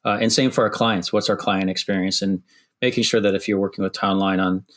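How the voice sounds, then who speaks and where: A middle-aged man with a Midwestern American accent speaks in a fairly low voice. He answers podcast questions thoughtfully, often restarting his sentences. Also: fairly even intonation, a little fast, a bit bright. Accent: Midwestern American, softened by years in Canada. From Wisconsin, USA. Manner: thoughtful, many restarts and fillers. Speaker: construction executive guest.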